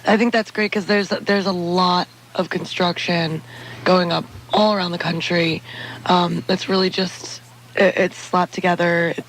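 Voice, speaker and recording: A woman's voice: fairly low pitch, steady tone, unhurried, slight background noise, low-quality recording. A woman hosting a radio talk show, friendly and agreeable as she talks with guests. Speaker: radio host.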